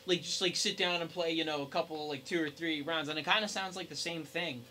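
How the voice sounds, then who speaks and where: A man's voice: on the high side, fairly even intonation, brisk, bright, forceful tone, slight background noise. A young man co-hosting a podcast, chatting casually in a rambling, informal way. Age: young adult. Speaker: podcast co-host. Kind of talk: casual chat.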